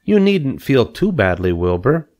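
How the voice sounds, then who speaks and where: Man voicing a measured, clever female storybook spider. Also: mid-range voice, big pitch swings, a little mellow, pitched above the performer's own voice. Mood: gently advising. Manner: measured, instructive, matter-of-fact. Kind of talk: storybook character reading.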